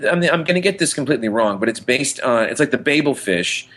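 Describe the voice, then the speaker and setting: A man's voice: medium pitch, animated, fast, a bit bright. A man co-hosting a podcast, chatting casually in a rambling, musing way and circling back on his phrases. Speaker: podcast co-host. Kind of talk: casual pop-culture chat.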